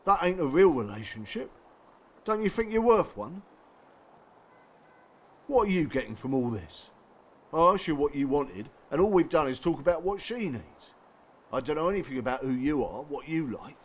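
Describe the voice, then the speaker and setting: Blunt, pressing man for naturalistic radio drama, colloquial, voice a touch high. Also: big pitch swings, moderate pace, pauses often, husky. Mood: urgent, exasperated. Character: blunt, streetwise man urging an abuse victim to leave.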